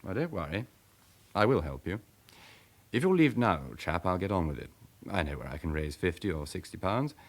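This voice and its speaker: Smooth sardonic english villain, low voice that swoops about, radio play. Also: male voice, sing-song, brisk, heavily acted. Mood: evasive, reassuring. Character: suave, sardonic male crook, guarded but obliging. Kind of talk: British radio drama acting.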